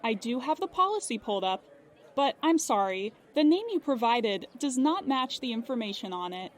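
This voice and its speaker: A woman acting a polite, unflappable customer service agent in an audio drama, formal and measured. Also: a little high, expressive, a faint crowd, lightly acted. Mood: courteous.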